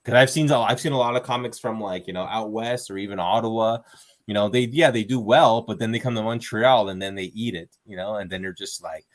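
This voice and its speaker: A man's voice: lowish voice, a little fast. A man, a stand-up comedian, chatting casually as an interview guest, rambling with fillers and restarts and acting out quoted exchanges. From Canada.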